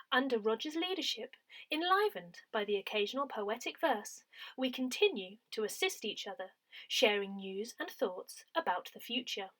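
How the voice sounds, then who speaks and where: A woman reading a scripted parish newsletter aloud in a deliberate, clearly phrased announcing manner. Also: on the high side, fairly bright tone. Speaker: newsletter reader.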